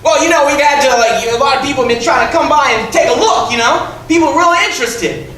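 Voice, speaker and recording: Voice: sits high, quick pace, a bit bright. A performer playing a dim, eager, apologetic 1940s police officer in radio drama style, folksy and hesitant, repeating words. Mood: earnest, muddled. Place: improv theatre stage before an audience. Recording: echoey theatre stage, distant, faint noise.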